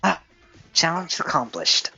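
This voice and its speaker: A man's voice: fairly high pitch, expressive, unhurried, vocal fry, bright, forceful tone, slight background noise. A man livestreaming a video game, giving casual commentary on his play into a close microphone. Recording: close, dry, slightly dull mic.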